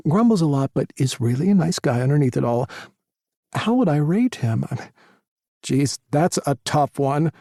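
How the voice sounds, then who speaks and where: A man's voice: a little high, expressive, normal speed, creaky, dark tone, no echo, studio quality. A man voicing a sassy, flamboyant young male veterinarian in his twenties, camping it up on a mock phone call. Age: 20s.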